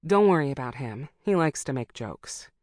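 A narrator doing a brisk, practical American woman pilot. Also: medium pitch, lively intonation, a little mellow, no room sound, clean studio sound, lightly acted. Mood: quick.

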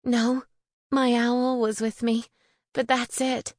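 A woman's voice: fairly high pitch, little pitch movement, slow pace, a little mellow, no echo, studio quality, voice pushed up. A woman voicing a frightened, tearful, innocent young witch in an audiobook, earnest and anxious. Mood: scared.

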